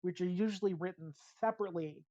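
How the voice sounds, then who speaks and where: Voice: medium pitch, quick pace, mellow, dry recording. A podcast co-host chatting casually, explaining details with enthusiasm, on slightly dull, lo-fi audio.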